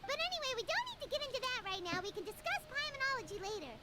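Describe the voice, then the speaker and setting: A woman voicing a small, cheeky, childlike fairy companion in a video game, squeaky and chatty, speaking of herself in the third person. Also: sing-song, rapid-fire. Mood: chipper. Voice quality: squeaky, shrill.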